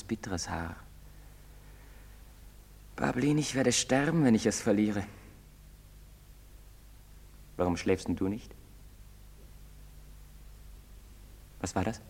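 An actor playing an anxious, brooding young outcast in love in a stage drama, speaking haltingly. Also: male voice, lowish voice, vocal fry, a little mellow, husky, full character voice. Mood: tender, pensive. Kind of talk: stage-drama acting in German. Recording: very reverberant hall sound.

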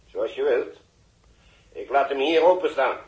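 A man's voice: medium pitch, a little slow, lo-fi sound, lightly acted. An actor playing a dry, official male launch control officer in a sixties science fiction radio drama. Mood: dry banter. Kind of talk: 1960s radio drama acting. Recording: echoey old radio recording.

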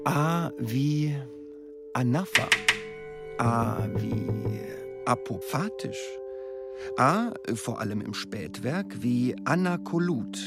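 A man performing a deliberate, enumerating poetic recitation in a radio play. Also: mid-range voice, lively intonation, loud music behind the voice. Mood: deliberate, solemn.

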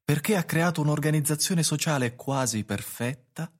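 A man's voice: on the high side, normal speed, natural voice. A man playing a cool, eccentric recluse of the woods in an Italian radio drama, explaining with detachment. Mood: coolly explaining.

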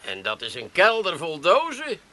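A man's voice: on the high side, wildly swooping pitch, normal speed, sharp tone, full character voice. An actor playing a nosy, slyly teasing family man with an Amsterdam accent, repeating words insinuatingly in a comic radio play. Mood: mocking, insinuating. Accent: Amsterdam Dutch.

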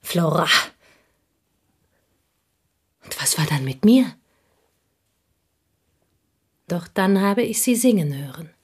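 An actress playing a plain, bitter woman in a radio play, confiding old envy in an intense, intimate way that often slips into breathy whispers. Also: on the low side, lively intonation, normal speed, darkish tone. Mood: scornful, intense. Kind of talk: German radio play dialogue, intimate monologue.

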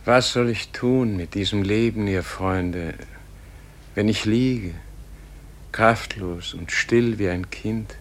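A man playing a dying, serene Gandhi in a solemn radio play, speaking in a gentle, contemplative, weary manner. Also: on the low side, slow pace. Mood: weary pleading.

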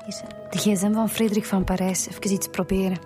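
Sharp Flemish police detective played by an actress. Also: female voice, medium pitch, level tone, lightly acted. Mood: musing, keen. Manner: brisk, direct, matter-of-fact. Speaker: actor in a radio serial. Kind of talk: naturalistic radio drama dialogue. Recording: music behind the dialogue.

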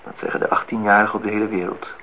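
An actor playing a disillusioned, reflective man in his thirties in a Dutch radio play, speaking in measured, philosophical phrases. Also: on the low side, level tone, lightly acted. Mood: sardonic, lecturing. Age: 30s. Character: disillusioned, reflective man musing on truth and love. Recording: dull, noisy old radio play recording.

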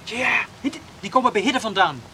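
A young farm lad in a radio play. His voice is high for a man, and he gives short, obliging answers over clear background noise. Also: lively intonation, moderate pace. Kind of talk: naturalistic radio drama.

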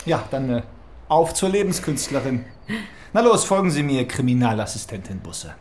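An actor playing a snobbish young police detective in a radio crime drama, arch and impatient, with formal, sarcastic phrasing. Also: male voice, medium pitch, very animated, normal speed, a little mellow, slight background noise, close to an everyday voice. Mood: impatient, mocking. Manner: pompous, sarcastic, formal wording.

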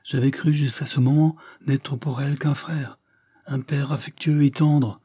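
A man voicing a reserved lord in anguish, speaking in a hushed, despairing way. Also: mid-range voice, steady tone, a little slow, husky, no room sound, studio quality, voice pushed up. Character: anguished, lovesick older brother, a reserved lord.

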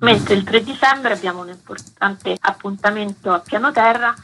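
A woman's voice: medium pitch, moderate pace, fairly bright tone, a little hiss or hum. A woman explaining a project in long, flowing sentences as a guest in a radio interview.

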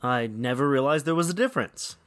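Lively male co-host, dry studio sound. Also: mid-range voice, fairly quick, no echo. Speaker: radio show co-host. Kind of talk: scripted comic banter.

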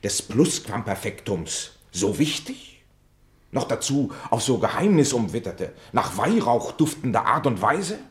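A deep male voice acted for radio drama of a middle-aged doubter among old schoolmates. He is mocking and ironic, leaning on his elaborate phrases with theatrical emphasis. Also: moderate pace, close to an everyday voice. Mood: sceptical, ironic. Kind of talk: theatrical radio play dialogue.

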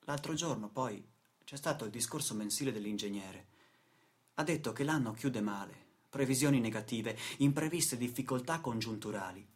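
A man's voice: medium pitch, moderate pace, lightly acted. A man acting a weary, indignant factory worker in a dramatic radio play monologue, with stammered repetitions. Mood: bitter, exasperated. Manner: dramatic, stammering repetitions, swings from muttering to outbursts. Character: weary, indignant male factory worker telling his grim story.

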